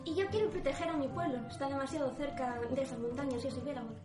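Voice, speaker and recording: A woman's voice: sits high, fast, lightly acted. A woman playing a small, earnest, scolding fantasy adventurer. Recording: echoey room, music behind.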